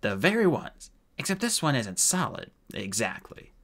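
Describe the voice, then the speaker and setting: A man's voice: mid-range voice, sing-song, moderate pace, fairly bright tone. A man voicing a sulky, cheeky dwarf convict from an audiobook, with slangy, flippant wheedling. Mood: unrepentant.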